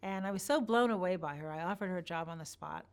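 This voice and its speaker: Woman interviewee, lowish voice, unhurried reflective storyteller. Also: clean tone. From born in Iran, grew up partly in Chicago. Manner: measured, thoughtful, warm recollection with pauses. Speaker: interview guest.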